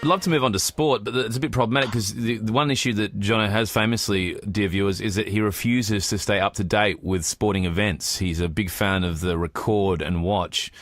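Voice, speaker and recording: Deep-voiced man, dry close recording. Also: steady tone, brisk, few pauses, a bit bright, no room sound, faint music behind. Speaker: podcast co-host. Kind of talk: casual chat.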